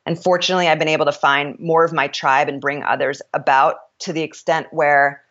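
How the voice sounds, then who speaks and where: A woman with a deep voice speaking as an interview guest, reflective and candid, sometimes halting as she works out her ideas. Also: steady tone, a bit bright, lo-fi sound.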